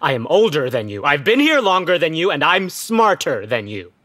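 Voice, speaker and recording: A man's voice: fairly high pitch, sing-song, normal speed, pushed and bright, no echo, exaggerated voice. An actor playing a nerdy, frustrated man turned cocky, speaking with emphatic indignation in a comedic audio play. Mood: indignant. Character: nerdy adult son, frustrated, newly cocky and defiant.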